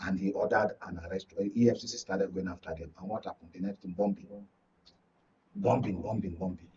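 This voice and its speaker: A man's voice: low voice, fairly even intonation, brisk, warm tone, a little hiss or hum. A Nigerian-accented man speaking as a guest analyst on a live political panel, emphatic and repeating words for stress. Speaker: studio guest, journalist and media analyst. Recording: muffled, distant-mic broadcast audio.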